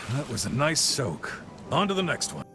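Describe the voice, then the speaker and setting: An actor playing an easygoing middle-aged warrior captain and encouraging mentor in a video game cutscene. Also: male voice, mid-range voice, huge pitch swings, normal speed, background noise. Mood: encouraging, hopeful.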